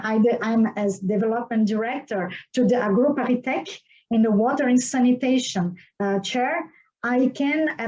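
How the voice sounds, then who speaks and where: Woman, 40s, from Brazil; halting podcast guest, highish pitch, muffled lo-fi audio. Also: a little mellow. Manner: halting, many fillers, non-native phrasing. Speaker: guest engineer. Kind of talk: podcast interview. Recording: dull lo-fi audio.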